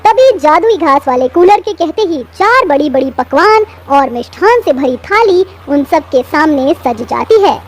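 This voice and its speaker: A woman's voice: sits high, animated, unhurried, few pauses. A woman reading scripted narration for a children's story.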